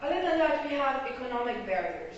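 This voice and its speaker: A woman's voice: high-pitched, sing-song, a little fast, a little hiss or hum. An Egyptian woman giving an academic presentation as a graduate student, explaining her points and checking in with her listeners. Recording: echoey hall, distant lo-fi mic.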